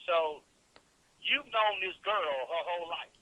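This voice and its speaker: A man's voice: high-pitched, animated, unhurried, lo-fi sound, a crowd in the background. A man hosting a call-in radio show, questioning pointedly and incredulously and repeating points back with emphasis. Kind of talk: probing call-in interview. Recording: noisy radio call-in line.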